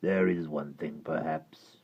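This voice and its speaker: A man voicing a sinister, cold male water creature with a deep, gravelly voice, speaking slowly in a low, half-whispered menace. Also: steady tone, full character voice. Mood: sly. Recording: dull lo-fi recording.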